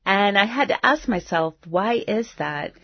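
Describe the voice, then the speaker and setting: A woman's voice: mid-range voice, expressive, a little slow, husky. An American woman in her fifties from South Florida, hosting a podcast as a personal monologue in an earnest, emphatic way. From South Florida, United States. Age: 50s. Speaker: podcast host. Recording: dull, roomy, echoey sound.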